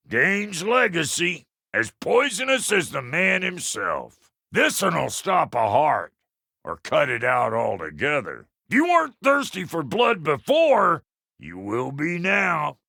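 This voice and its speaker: A man voicing a gruff, foul-mouthed but good-hearted dwarf blacksmith in a video game, with a rough Southern American accent and a blunt, folksy manner. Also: fairly high pitch, huge pitch swings, takes it slowly, sharp tone, no room sound, studio quality, heavily acted. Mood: relishing, rowdy. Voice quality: gravelly, rough-edged. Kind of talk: video game character acting.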